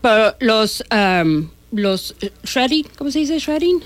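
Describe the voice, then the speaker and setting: A woman's voice: a little high, very animated, slow pace. A middle-aged woman from northern Mexico with a northern Mexican accent, explaining bail bond rules as a guest in a casual radio interview, with many fillers and restarts. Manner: chatty, frequent fillers and restarts.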